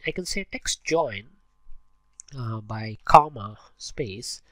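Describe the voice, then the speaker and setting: Male screencast instructor, mid-pitched, audible head cold, informal and halting step-by-step delivery, dry sound. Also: lively intonation, fairly bright tone, no room sound. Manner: explains step by step, with frequent hesitations. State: voice affected by a cold. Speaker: host of a spreadsheet tutorial channel. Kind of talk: informal screencast tutorial narration.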